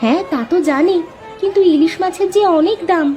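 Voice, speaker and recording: Woman as dutiful young village sister, very high voice, earnest and simple cartoon delivery, faint music underneath. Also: a little fast, a little mellow. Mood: earnest, wistful. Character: caring young village sisters, dutiful daughters of a sick father. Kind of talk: Bengali moral-story cartoon dubbing.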